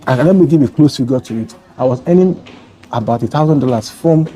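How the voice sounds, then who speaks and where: A man's voice: medium pitch, expressive, fairly quick, warm tone, faint music behind. A man from Nigeria telling his travel story as an interview guest, earnest and rambling with many restarts. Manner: meandering, restarts often, earnest. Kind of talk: casual interview conversation.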